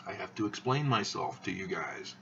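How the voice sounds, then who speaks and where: A man hosting his own video channel, talking in a slow, halting monotone with many fillers as he rambles. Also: sits low, gravelly, far from the mic, lo-fi sound, slight background noise. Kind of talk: rambling monologue to camera.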